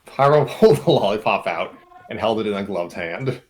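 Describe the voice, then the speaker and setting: A man's voice: fairly low pitch, expressive, fairly quick, noisy background. A man narrating a comic story aloud in an informal group setting, with casual asides.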